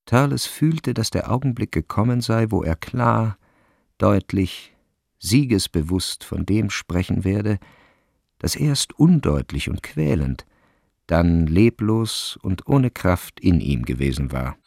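An actor as the measured literary narrator of a radio play, delivering scripted prose from a novel. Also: male voice, lowish voice, animated, gravelly, a little mellow, dry recording, clean studio sound, heavily acted.

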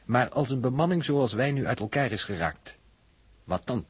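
An actor playing an earnest, analytical space test crewman with a husky voice, reasoning methodically in a 1960s radio drama. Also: medium pitch, expressive, normal speed, no room sound. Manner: methodical, didactic.

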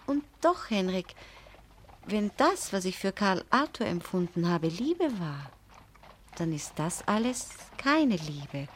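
An actress in a German radio play, playing a frank, affectionate young wife who speaks earnestly and confidingly. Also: female voice, mid-range voice, sing-song, slow, slight background noise. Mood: hesitant, tender.

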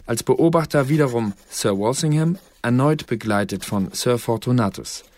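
An actor playing a dutiful court clerk, reading the minutes formally and precisely in a German historical radio drama. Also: male voice, mid-range voice, moderate pace, darkish tone, close to an everyday voice. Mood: formal, neutral.

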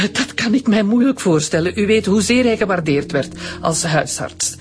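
An actress with a Flemish accent playing a cool, controlled widowed doctor. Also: female voice, mid-range voice, expressive, normal speed, soft background music, close to an everyday voice. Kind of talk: radio drama acting.

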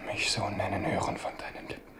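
An actor playing an ardent, proud young prince in a German radio play. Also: male voice, fast talker, whispered, lightly acted. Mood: intimate.

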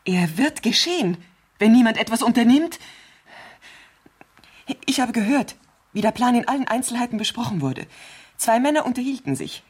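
An actress playing an anxious, imperious bedridden rich wife in a 1970s German radio thriller, urgent and impatient. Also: female voice, a little high, very animated, slightly rough. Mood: agitated, urgent. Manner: urgent, agitated, impatient, haltingly insistent.

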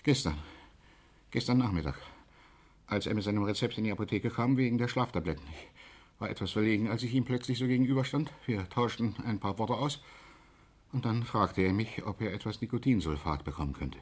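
Shaken pharmacist, husky low pitch, stammering, apologetic, 1960s radio drama. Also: male voice. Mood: uneasy, explaining. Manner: halting, stammering, repeats words. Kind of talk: 1960s German radio crime drama acting.

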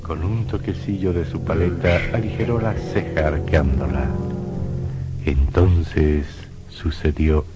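A man's voice: rumbling low, steady tone, slow pace. A man narrating a horror radio play in a suspenseful, sinister, theatrical way. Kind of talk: dramatic scripted horror narration. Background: music.

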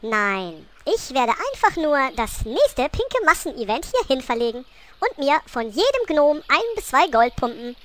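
A woman's voice: high-pitched, sing-song, unhurried, fairly bright tone, heavily acted. A woman playing a bossy, scheming little gnome queen in a comic audio play, sassy and exasperated.

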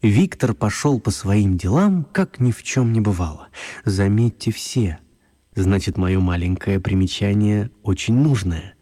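A male actor as the storyteller of a children's radio play, narrating expressively in a husky voice. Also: on the low side, mellow.

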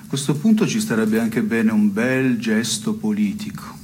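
An actor playing a wry, self-satisfied petty-theft philosopher in absurdist theatre, with a deliberate, mock-solemn delivery. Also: male voice, fairly low pitch, steady tone, darkish tone, slight background noise, close to an everyday voice. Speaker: stage actor. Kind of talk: scripted absurdist theatre dialogue.

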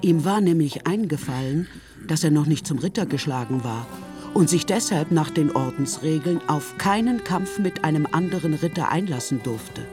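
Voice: mid-range voice, lively intonation, moderate pace, natural voice. A performer playing the storyteller of a mock-heroic knightly tale, narrating a literary radio play with theatrical expression. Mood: storytelling. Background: music.

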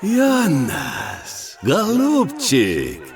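An actor playing a crude, gloating Russian warlord with a Russian accent and a mock-cordial manner. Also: male voice, sits high, huge pitch swings, extremely slow, gravelly, background noise, heavily acted.

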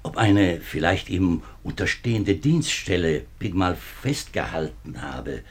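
A man's voice: sits low, expressive, slow pace, slightly rough, full character voice. A man playing a nervous, worn-down middle-aged minister in a 1950s German radio drama, speaking formally but hesitantly. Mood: anxious, weary. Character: nervous, worn-down government minister, husband and father.

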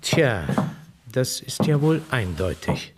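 An actor playing a brisk, methodical police inspector in a radio drama, deliberate and probing. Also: male voice, medium pitch, very animated, heavy background noise. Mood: musing. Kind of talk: radio play acting.

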